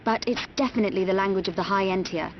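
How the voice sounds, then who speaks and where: An actress playing a gentle young female seer in a video game cutscene. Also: mid-range voice, quick pace, highs cut off, background noise, lightly acted. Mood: soft, concerned.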